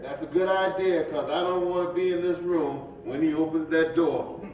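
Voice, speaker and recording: A man plays a jovial, impatient preacher friend in a stage play, chiding in a folksy way. The voice is fairly high. The sound is dull and very echoey. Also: fairly even intonation, moderate pace, a little mellow, close to an everyday voice. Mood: impatient, friendly. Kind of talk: staged play reading, conversational dialogue. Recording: dull, echoey, distant hall with rumble.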